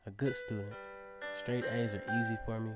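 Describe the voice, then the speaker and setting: A lowish-voiced man playing a teenage circus acrobat tells his own story over clearly audible instrumental music. He is cocky and a bit dreamy, with casual, self-assured delivery suited to radio drama. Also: little pitch movement, normal speed, close to an everyday voice. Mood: wistful, defensive. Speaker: narrator and lead character. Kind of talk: first-person radio drama narration.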